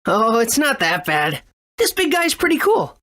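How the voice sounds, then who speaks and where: Game hero kid, young boy, really high bouncy voice, earnest and excitable. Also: measured pace. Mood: curious, alarmed. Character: earnest, eager young boy adventurer and inventor. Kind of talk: video game voice acting.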